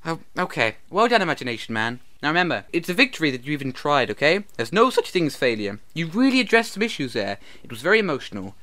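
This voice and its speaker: An actor playing an earnest, patient support group leader, encouraging and placating in a comedy sketch. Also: male voice, on the high side, very animated, fast talker, hardly any pauses, bright, forceful tone. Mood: gently supportive. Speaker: group therapy facilitator.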